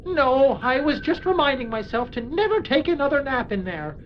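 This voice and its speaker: A woman's voice: sits high, sing-song, moderate pace, dull audio, slight background noise. A woman playing a timid, dim, sleepy Martian oaf in a comic audio drama, hesitant and naive. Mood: panicky.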